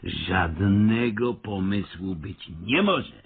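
An actor playing a cocksure schemer in a radio comedy who lectures condescendingly as a self-styled theatre expert. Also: male voice, fairly low pitch, very slow, gravelly, breathy edge, full character voice. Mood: lecturing. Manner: condescending, lecturing, mock-erudite. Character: cocksure male schemer posing as avant-garde theatre expert. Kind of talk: Polish radio play comedy acting. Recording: slightly muffled, lo-fi radio recording.

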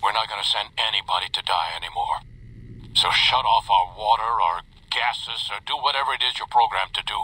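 Defiant weary survivor, grim, strained, forceful, game drama, faintly noisy radio-like log. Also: male voice, lowish voice, fairly quick. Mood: grim defiance. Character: weary, bitter, defiant male vault survivor.

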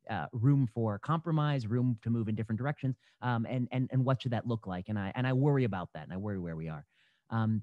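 A man's voice: mid-range voice, mellow, no echo. A young man hosts a podcast interview from home through a headset. He thinks aloud, with fillers and restarts. The sound is dull and dry. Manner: thoughtful, hesitant, many fillers and restarts. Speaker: podcast host. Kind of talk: conversational interview. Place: home room. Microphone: headset mic on a video call. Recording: dull, dry video call audio.

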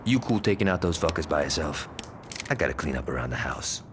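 An actor playing a swaggering, trigger-happy gang lieutenant in a video game, cocky, profane and slangy. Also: male voice, very deep voice, big pitch swings, brisk, background noise. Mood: confiding. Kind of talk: video game cutscene acting.

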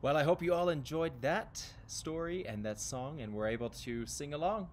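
An American man in his thirties, a music teacher, introducing a read-along to children in an expressive, direct way. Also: on the high side, normal speed. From Tampa, Florida, United States. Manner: expressive, addressing young viewers directly. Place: outdoors by a brick house wall.

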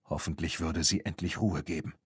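A man's voice: very deep voice, steady tone, moderate pace, slightly rough, no echo, clean studio sound. A man reading a scripted children's story as an audiobook narrator.

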